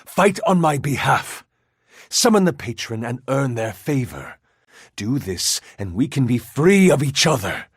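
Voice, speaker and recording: An actor playing a sly, menacing demonic spirit in a video game, with a silky, mockingly courteous delivery and a husky deep voice. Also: male voice, big pitch swings, unhurried, dry recording, studio quality. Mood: persuasive. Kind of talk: dramatic video game character acting.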